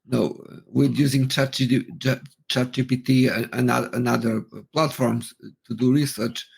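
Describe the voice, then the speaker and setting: Raspy, creaky-voiced man, halting speech. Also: medium pitch, fairly even intonation. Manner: halting, many stammered restarts. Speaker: podcast co-host. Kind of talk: casual discussion.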